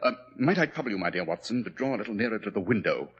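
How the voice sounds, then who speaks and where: An actor playing a cool, commanding middle-aged detective in 1940s radio drama style, brisk and clipped. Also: male voice, lowish voice, expressive, fairly bright tone, slightly rough, highs cut off. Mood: hushed.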